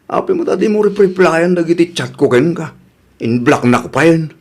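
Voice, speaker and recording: A man's voice: on the high side, expressive, moderate pace. An actor playing a lovesick jilted man who cannot move on, in a comedy radio drama. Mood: pleading, hurt.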